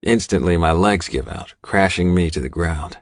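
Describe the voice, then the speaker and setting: Low male voice reading a dramatic audiobook in first person, very dry sound. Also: normal speed, no echo. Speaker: audiobook narrator.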